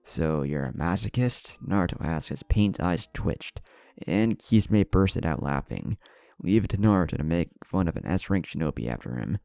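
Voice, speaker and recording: A man's voice: sits low, lively intonation, normal speed, creaky, a little mellow, no echo, clean studio sound. A man narrating a scripted fan fiction story in a dramatic storytelling manner.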